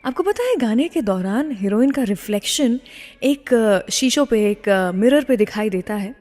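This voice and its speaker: A woman's voice: on the high side, animated, a little slow, darkish tone, long reverb tail. A woman radio host presenting a classic film music show, switching between Hindi and English phrases.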